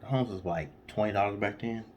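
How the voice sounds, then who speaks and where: Low-voiced man telling a story. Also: brisk, darkish tone, lo-fi sound, slight background noise. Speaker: co-host of a storytelling show. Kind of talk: casual storytelling read from notes.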